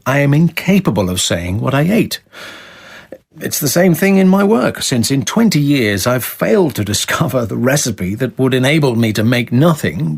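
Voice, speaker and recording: A man reading formal letters aloud for radio in a measured, articulate, scripted delivery. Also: medium pitch, gravelly, husky.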